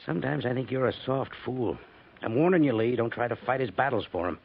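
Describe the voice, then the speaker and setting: An actor playing a stern, disapproving army major, curt and full of warning, in a 1950s radio drama. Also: male voice, mid-range voice, fast, vocal fry, lightly acted. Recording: dull, noisy 1950s radio recording.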